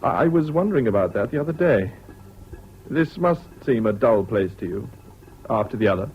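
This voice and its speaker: An actor playing a mild, concerned English army officer, polite and gentle, in a 1970s British radio drama. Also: male voice, medium pitch, expressive, normal speed, natural voice. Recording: dull, noisy old radio recording.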